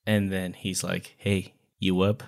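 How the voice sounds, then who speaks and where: A man's voice: deep voice, little pitch movement, slow, gravelly. A man co-hosting a podcast in a casual book chat, informal and joking, with slangy phrasing. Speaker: podcast co-host.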